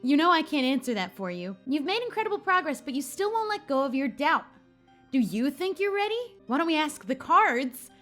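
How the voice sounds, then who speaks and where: Woman reading as a high-voiced cryptic magician mentor. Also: very animated, fairly quick, fairly bright tone, faint music behind. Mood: gently encouraging. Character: cryptic, gentle male magician and fortune-telling mentor.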